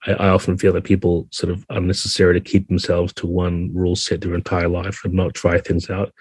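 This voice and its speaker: A man's voice: low voice, monotone, fairly quick, darkish tone, husky, dry recording. A man speaking as a guest game designer in a podcast interview, explaining things in a conversational way.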